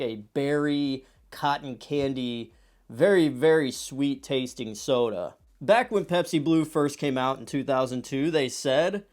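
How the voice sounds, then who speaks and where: Guy in his 30s a bit stuffy from a cold, kinda high voice, rambly and animated, soda review at home. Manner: chatty and animated, acts out quoted voices. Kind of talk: casual product review vlog. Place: home room with shelves of soda cans. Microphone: camera at desk distance.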